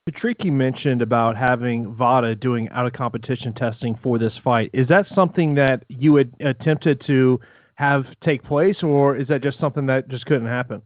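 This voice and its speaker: A man's voice: medium pitch, moderate pace, dry recording. A male sports journalist putting prepared questions to athletes on a media conference call. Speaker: MMA journalist. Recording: dry, narrow tinny phone line.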